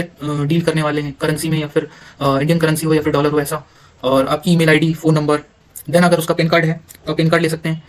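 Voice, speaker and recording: Indian guy doing a software demo, higher voice, talks quick, kinda halting, drops in english words. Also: rough recording. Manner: halting, sprinkles English terms into his speech. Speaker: software demonstrator. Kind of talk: informal screen-recorded tutorial walkthrough.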